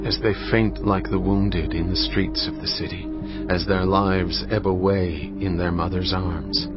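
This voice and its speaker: A man narrating scripture in a solemn, measured, dramatic style. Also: low-pitched, little pitch movement. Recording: muffled, with music behind. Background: music.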